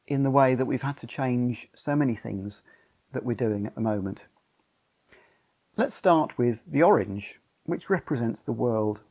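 A man's voice: medium pitch, darkish tone, slightly rough. An older man leading a church service for people at home, explaining simply and giving instructions to families. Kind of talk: instructional talk to a home congregation. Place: living room at home, indoors.

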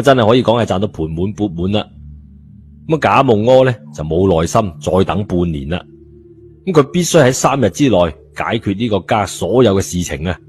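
A man's voice: sits low, darkish tone. A man with a Cantonese accent narrating an audiobook in colloquial storytelling style. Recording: background music under the voice.

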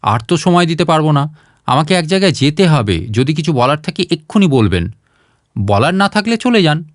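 A man's voice: on the high side, very animated, moderate pace, no room sound, studio quality. A man voicing an impatient, busy male doctor who questions people curtly and scoldingly in a story reading.

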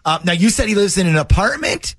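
A man's voice: sits high, expressive, fast, gravelly. A man hosting a radio phone-in show, talking in a casual, joking way. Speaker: morning radio show host.

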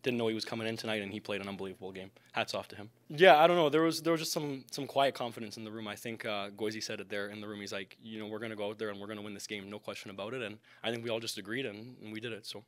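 Young man, very quick speech, lowish pitch, athlete interview style. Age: young adult. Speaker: ice hockey player. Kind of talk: post-game interview. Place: indoor media backdrop at a rink. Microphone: microphone on a stand in front.

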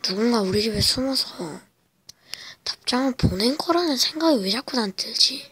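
A woman vlogger whispering a spooky personal story at home in a slow, hesitant way, with many fillers. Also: a little high, expressive, fairly bright tone. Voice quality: breathy whisper. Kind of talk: whispered casual storytelling to camera. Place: home, indoors.

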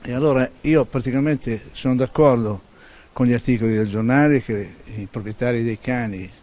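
A man's voice: medium pitch, normal speed, dark tone. Older man, blunt opinions. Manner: plain-spoken, opinionated. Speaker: local resident. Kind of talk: street vox pop answer. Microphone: handheld interview mic. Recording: faint background ambience.

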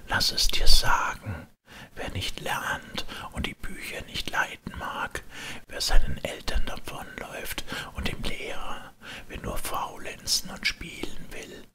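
A man voicing a sorrowful enchanted donkey in a breathy, grave whisper for a storybook reading. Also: extremely high pitch, bright, forceful tone, voice pushed up, full character voice. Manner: slow, grave, pleading.

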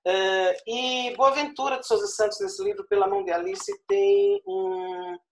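A man in his forties speaks with a northeastern Brazilian Paraíba accent, giving a university lecture from a small room at home. He talks into an earphone headset on a video call. His delivery is expansive, with key words drawn out slowly and points closed with a questioning "certo?". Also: high voice, expressive, creaky, a little hiss or hum. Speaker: guest lecturer. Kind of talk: online lecture.

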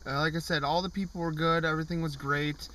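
A man's voice: fairly high pitch, fairly even intonation, fast talker, sharp tone, rough recording. A man in his thirties giving a casual vlog review from the driver's seat of a parked car, rambling with lots of fillers and restarts. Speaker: car show vlogger. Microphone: camera on the dashboard.

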